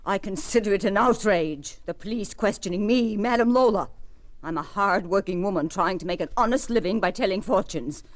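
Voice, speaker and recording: An actress playing a tough, wily fortune teller in old radio mystery style, haughty and theatrical. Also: female voice, mid-range voice, brisk, close to an everyday voice. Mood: indignant. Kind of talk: 1940s radio mystery acting.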